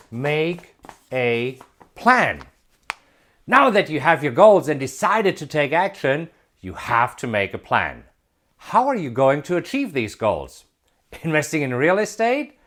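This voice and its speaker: A man in his forties giving an emphatic motivational talk, asking rhetorical questions and building excitement. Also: on the high side, slow, long pauses. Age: 40s. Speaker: self-help video presenter.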